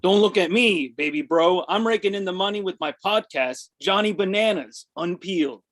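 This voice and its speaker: A man's voice: sits high, moderate pace, a bit bright. A man in a comedy table read playing a brash, boastful older brother with a mocking manner. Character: brash, boastful older brother, has-been actor type.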